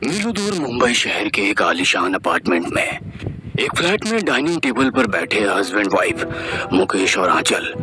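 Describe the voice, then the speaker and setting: A man with a low voice narrates a suspense horror story. His scripted delivery swings widely in pitch, and loud music plays behind him throughout. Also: very animated, normal speed. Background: loud dramatic music and effects.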